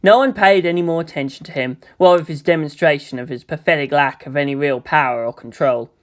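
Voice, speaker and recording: A man's voice: fairly high pitch, a little fast. A man reading scripted fiction aloud as a storyteller, in the style of an audiobook narrator.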